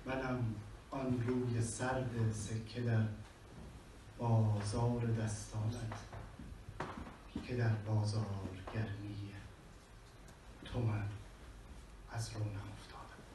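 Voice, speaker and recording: A man with a Persian accent reading poetry slowly on a small stage, with measured, dramatic pauses. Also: lowish voice, level tone, background noise. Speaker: poet. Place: small venue with a live audience. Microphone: handheld mic on a stand, recorded from far in the room. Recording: echoey room from far off.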